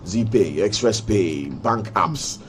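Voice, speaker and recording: A man's voice: lowish voice, expressive, slow pace, a little hiss or hum. A young man with a Ghanaian accent hosting a talk show, teasing his guest in playful banter. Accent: Ghanaian English.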